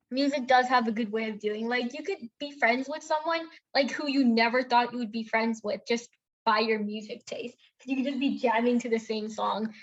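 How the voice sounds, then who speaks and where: A woman's voice: on the high side, highs cut off. A young woman from India telling a personal story in a casual chat, with lots of filler words and restarts. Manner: chatty storytelling with many fillers and self-corrections. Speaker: podcast co-host.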